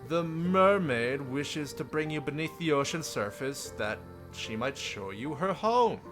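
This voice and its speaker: Casting: male streamer, slightly high voice, theatrical and playful visual novel narration over audible music. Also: animated, unhurried, a bit bright. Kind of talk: dramatic read-aloud narration with joking asides.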